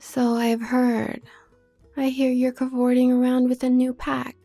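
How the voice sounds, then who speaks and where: Woman playing a mellow, condescending werewolf noblewoman, creaky edge. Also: sits high, monotone, a little slow, soft background music. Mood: smug, needling. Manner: condescending, smooth, needling. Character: haughty, manipulative younger werewolf sister of noble family. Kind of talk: scripted audio roleplay drama.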